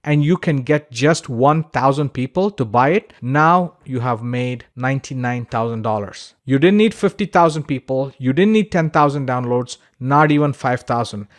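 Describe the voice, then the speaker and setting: Male course instructor, mid-pitched voice, conversational and emphatic explainer narration. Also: normal speed, hardly any pauses. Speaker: online course instructor and podcaster.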